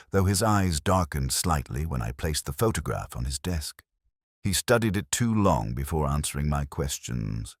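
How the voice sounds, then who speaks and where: A man narrating a scripted first-person detective story as a brooding, reflective investigator, in a measured, literary manner. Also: a bass voice, dry recording, clean studio sound. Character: melancholy, reflective male police detective telling his own case.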